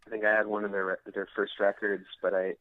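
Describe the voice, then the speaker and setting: A man's voice: fairly low pitch, monotone, fast, dry recording. A man from the United States, a musician, chatting casually in an interview in a hesitant, unassuming way. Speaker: rock guitarist being interviewed. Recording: tinny narrow phone-like line.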